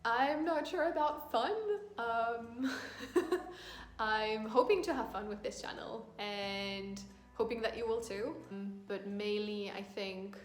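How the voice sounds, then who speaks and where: A woman with a French accent talks through a casual book-tag video, thoughtful and a little hesitant, often pausing to find her words. Her voice carries an echoey room sound, with faint music playing underneath. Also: fairly high pitch. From France. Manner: thoughtful, hesitant, many ums and restarts. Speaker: book vlogger.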